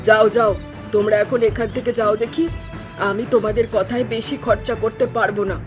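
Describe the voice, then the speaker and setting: A woman's voice: fairly high pitch, fairly even intonation, a little fast, close to an everyday voice. A woman playing a stingy, bossy older mother-in-law in a cartoon, scolding curtly and domineeringly. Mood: stern, scolding. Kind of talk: Bengali cartoon story acting. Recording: clear music under the voice.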